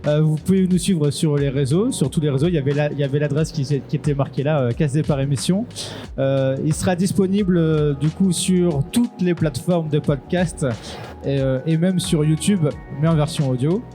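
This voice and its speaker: A man hosts a live podcast on a convention stage. His voice is mellow and fairly high, and he moves briskly through thanks and announcements. Soft music plays faintly beneath him. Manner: brisk, thanks people in quick succession. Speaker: podcast host.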